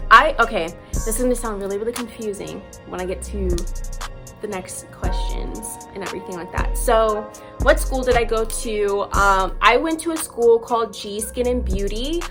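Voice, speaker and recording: A young woman in her twenties, originally from Chicago, vlogs about her nail tech work in a loose, chatty ramble full of fillers. Her voice sits a touch higher than most. Faint background music plays beneath her talk. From Chicago, United States. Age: 20s. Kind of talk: casual talking-to-camera vlog. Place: at home, now in Chicago.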